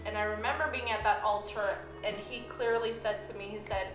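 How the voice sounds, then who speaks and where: A woman's voice: medium pitch, steady tone, brisk. A young woman from the Philippines shares her personal testimony in an earnest, reflective way. Her voice sits in a roomy space. Music plays steadily behind her. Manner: earnest, reflective, with light laughs. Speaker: church member telling her story.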